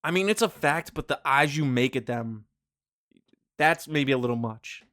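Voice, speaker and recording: A male podcast host chatting casually with his co-host. He jokes around and rambles, with plenty of fillers and false starts in a mid-pitched voice. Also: expressive, moderate pace, fairly bright tone. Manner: joking, rambling, many fillers and restarts. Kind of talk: casual chat.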